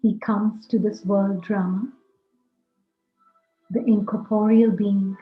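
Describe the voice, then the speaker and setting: Slow-paced woman guiding a meditation. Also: medium pitch, flat delivery. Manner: deliberate, speaking in short, measured phrases. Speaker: guided meditation teacher. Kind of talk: slow guided meditation talk. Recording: roomy echo, dull lo-fi sound, music. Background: music.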